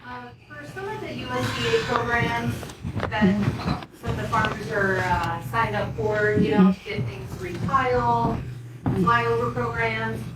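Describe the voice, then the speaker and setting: A woman's voice: a little high, measured pace, a loud crowd. A woman in the audience hesitantly asking a question from the floor. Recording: distant, echoey and noisy room.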